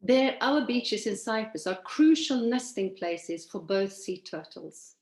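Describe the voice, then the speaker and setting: A woman in her sixties from Sweden, giving an earnest, measured presentation over a video call with some hesitations. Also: mid-range voice, animated, a little mellow, roomy sound. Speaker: presenter at an online event. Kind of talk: presentation from shared slides.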